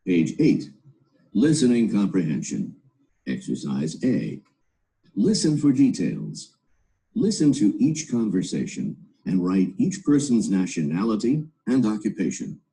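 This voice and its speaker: A man's voice: fairly low pitch, wildly swooping pitch, measured pace, creaky, warm tone, rough recording. A man narrating textbook audio, announcing exercises and interviewing speakers.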